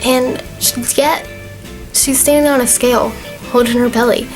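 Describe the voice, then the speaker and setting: Slightly hoarse young woman, chatty. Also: on the high side, fairly even intonation, moderate pace, over music, low-quality recording. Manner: chatty and earnest, with frequent fillers. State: slightly hoarse, losing her voice. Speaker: vlogger. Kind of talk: casual talk to camera.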